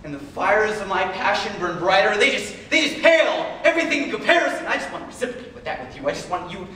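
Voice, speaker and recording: An earnest, anxious man pleads with his partner in a dramatic monologue, performed by a stage actor. He stammers and restarts his phrases in a high voice. The sound is very reverberant and distant from the microphone, with faint noise. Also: animated, fast, sharp tone. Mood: earnest, passionate. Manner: stammering, hesitant, repeating words. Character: anxious, pleading, passionate man in a troubled relationship. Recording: very echoey room, far from mic, faint noise.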